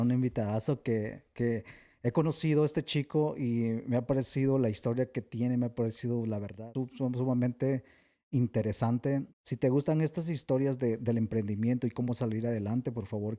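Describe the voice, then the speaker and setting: Mexican guy hosting a podcast, real dark mellow voice, says "este" a lot. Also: mid-range voice. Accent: Mexican Spanish. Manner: friendly, restarts and fillers like "este". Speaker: podcast host. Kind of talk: casual podcast interview.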